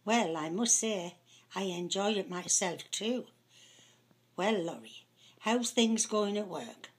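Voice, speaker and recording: A woman with a husky, breathy voice of middling pitch reads stage dialogue as an amateur. She has a North East English pitmatic accent from around Houghton-le-Spring. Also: expressive, slow pace. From north-east England. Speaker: amateur script reader. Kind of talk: dramatic dialogue read-through.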